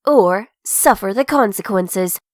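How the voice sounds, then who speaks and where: Casting: actress as imperious young rebel leader, comic dub. Medium pitch, ruthless and exact, dry recording. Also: female voice, lively intonation, takes it slowly, no echo. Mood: zealous. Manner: precise, imperious. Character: haughty, ruthless young female fairy-tale revolutionary.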